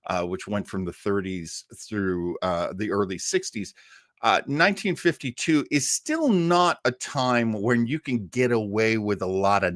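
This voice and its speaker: A man's voice: mid-range voice, fairly bright tone, dry recording, clean studio sound. A man hosting a casual show about old-time radio, chatting in a rambling, jokey way. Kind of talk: casual livestream chat reading viewer comments.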